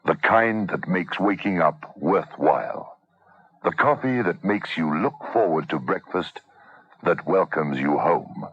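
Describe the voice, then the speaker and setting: A man reading a scripted vintage radio advertisement as an announcer, in a husky voice. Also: sits low, animated, unhurried. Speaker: commercial announcer. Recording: dull vintage radio recording.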